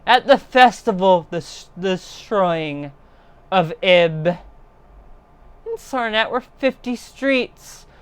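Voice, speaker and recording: A woman reading a literary horror story aloud in a solemn, deliberate, dramatic storytelling style. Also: medium pitch, smooth, no echo.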